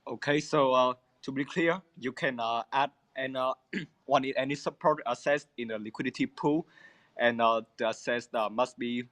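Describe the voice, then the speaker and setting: A man from a crypto project clarifying answers, pausing often on "uh" between phrases. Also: medium pitch, a bit bright, dry recording. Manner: measured, with frequent "uh" pauses. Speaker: crypto project team member. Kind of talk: answering AMA questions.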